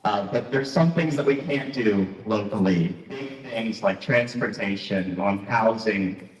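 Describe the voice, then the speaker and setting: Lowish man speaking, echoey hall. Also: far from the mic. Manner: halting, many fillers and restarts. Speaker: mayor welcoming the audience. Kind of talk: public welcome speech. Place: large hall at a town meeting. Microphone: microphone that fails partway.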